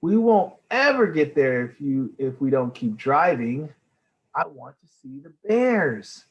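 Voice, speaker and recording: A man voicing an excitable, fretful young girl in a storybook, full of eager, pestering questions. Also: fairly high pitch, slow, muffled recording, exaggerated voice. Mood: impatient.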